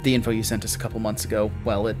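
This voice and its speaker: An actor playing a driven, stern male investigator in an audio drama, with a measured, pressing delivery. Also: fairly low pitch, little pitch movement, music in the background, lightly acted. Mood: measured, probing.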